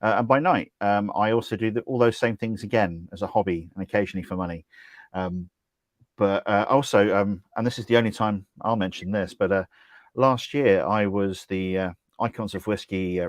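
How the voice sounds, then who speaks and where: A man's voice: sits low, no echo. A middle-aged man co-hosting a whisky tasting with dry, wry humour, speaking over a video call. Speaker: co-host, drinks ambassador. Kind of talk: casual livestream chat.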